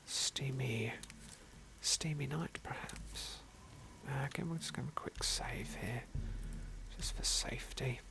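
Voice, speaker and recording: British guy whispering through a game playthrough, gravelly low-key asmr, gentle and polite. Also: takes it slowly. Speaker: host narrating a game playthrough. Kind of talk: whispered ASMR roleplay and commentary.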